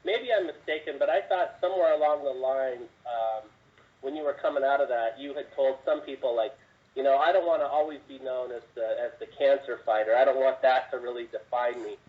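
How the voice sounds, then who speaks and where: A man's voice: mid-range voice, fairly quick. A man, a sports reporter asking conversational, rambling questions over the phone. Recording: dull, distant phone line.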